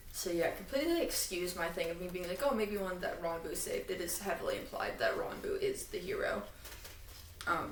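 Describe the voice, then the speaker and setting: A woman with a slightly low voice talks casually as a podcast co-host, explaining things like a fan and drifting into asides. She sounds a bit away from the mic in an echoey room, with faint noise behind her. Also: far from the mic.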